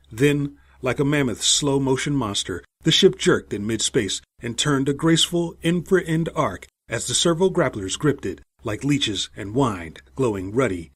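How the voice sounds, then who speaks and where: Very husky, animated male audiobook narrator. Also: mid-range voice. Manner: expressive, suspenseful storytelling. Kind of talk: dramatic scripted story narration.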